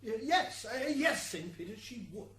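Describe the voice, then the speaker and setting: A woman's voice: a little high, big pitch swings, normal speed, a bit bright. A woman acting a fussy, stammering defence lawyer in an amateur radio drama courtroom. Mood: measured. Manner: stammering, pointed courtroom questioning. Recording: hissy amateur recording, background noise.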